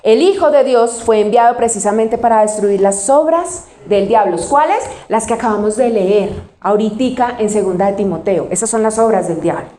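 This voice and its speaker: A woman pastor from Colombia with a Cali accent, preaching a sermon. She puts questions to the congregation and answers them herself, and she slows down to stress single words. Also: mid-range voice, dark tone, echoey room. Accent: Cali Colombian Spanish.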